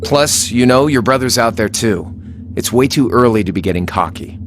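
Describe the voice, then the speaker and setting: An actor playing a laid-back, wry young fighter in a video game, casual and understated but wary. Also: male voice, medium pitch, animated, normal speed, a little hiss or hum, close to an everyday voice. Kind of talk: dramatic video game cutscene acting.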